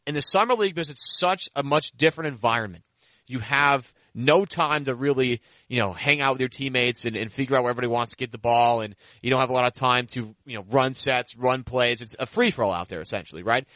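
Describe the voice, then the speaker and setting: A man's voice: medium pitch, fast talker, sharp tone, dry recording. A man hosting a sports podcast, talking basketball in a casual, conversational way.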